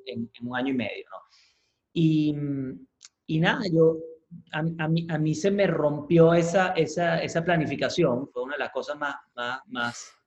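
Venezuelan man, halting storyteller, roomy sound. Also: a little high. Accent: Venezuelan Spanish. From Caracas, Venezuela. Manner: halting, repeats words, mixes in English words. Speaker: interview guest, business professional. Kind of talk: casual conversational interview, personal storytelling. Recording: roomy echo, slightly dull lo-fi sound.

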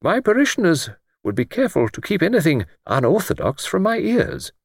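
A man voicing a mild, absent-minded, courteous old village vicar who speaks gently and hesitantly, repeating himself. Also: high voice, huge pitch swings, fairly quick, vocal fry, breathy edge, dry recording, studio quality, pitched above the performer's own voice, heavily acted. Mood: gentle, musing. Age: older.

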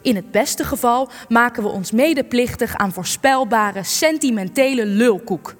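An actress in a Dutch radio play, playing a sharp, cynical researcher who is sardonic, wordy and needling. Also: female voice, fairly high pitch, moderate pace, natural voice. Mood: scornful, skeptical. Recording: long reverb, music underneath.

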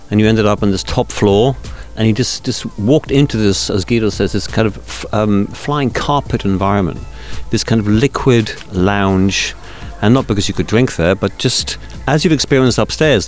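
A Swiss man being interviewed as a guest, chatty and anecdotal, telling stories with many asides. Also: on the low side. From Switzerland. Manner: chatty, anecdotal, with fillers and restarts. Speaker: radio festival organizer, guest. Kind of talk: interview conversation.

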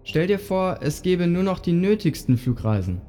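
A man's voice: high voice, very animated, normal speed, mellow. A man narrating a scripted audio play in short, direct appeals to the listener. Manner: short imperative phrases inviting listeners to imagine. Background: music.

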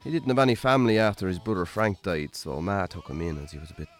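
A man's voice: low voice, animated, quick pace, soft background music. A man playing a worn-down, lonely Irish farmer in a radio drama, wry, understated and conversational. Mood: fond, reminiscing. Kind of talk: naturalistic radio drama acting.

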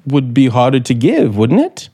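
An actor playing a brooding, manipulative scriptwriter in 1950s radio suspense style, probing deliberately with dramatic pauses. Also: male voice, mid-range voice, wildly swooping pitch, mellow, husky, exaggerated voice. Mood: intense, testing. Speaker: actor in the lead role. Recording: 1950s radio broadcast, music behind.

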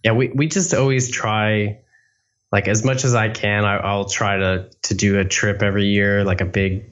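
Casting: laid-back guy, lowish voice, swears casually, slightly dull lo-fi sound. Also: level tone, normal speed, a bit bright. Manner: laid-back, swears often, heavy use of "like". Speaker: podcast guest, concept artist. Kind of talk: casual chat.

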